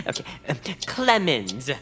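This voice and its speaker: A woman playing an earnest, fussy quiz-show contestant in an audio comedy, prim, easily flustered and halting. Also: low-pitched, wildly swooping pitch, a bit bright, husky, faint music behind, full character voice. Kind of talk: audio fiction comedy acting.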